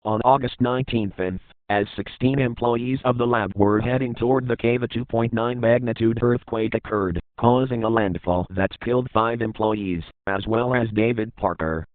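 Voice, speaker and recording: A man's voice: medium pitch, fairly even intonation, moderate pace, few pauses, dry recording, narrow, tinny audio. A man reading scripted horror narration in the manner of a documentary report.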